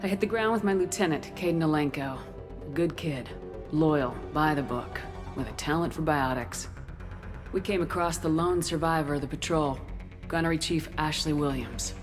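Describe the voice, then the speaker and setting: A woman playing a tough, composed military commander, narrating seriously and in measured phrases for a video game. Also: sits low, lightly acted. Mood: reflective. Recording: music behind.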